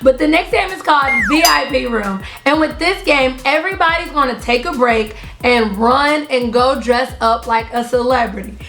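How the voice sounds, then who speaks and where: A woman's voice: sits high, normal speed, few pauses. A woman hosting an online video, explaining party games in a chatty, friendly way to viewers. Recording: echoey room. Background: music in the background.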